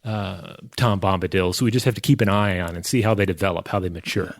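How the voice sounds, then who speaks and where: A man with a fairly low voice hosts a podcast in an easy conversational style. He is close on the mic, and the sound is very dry with no room around it. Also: steady tone, fast, no room sound. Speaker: podcast co-host. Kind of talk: casual chat, scripted ad reads and listener mail.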